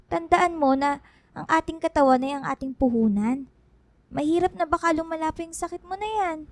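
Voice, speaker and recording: A woman's voice: high-pitched, normal speed, dry recording. A woman playing a worried, caring wife and mother in a radio drama, with an emphatic, pleading manner. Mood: worried, urging.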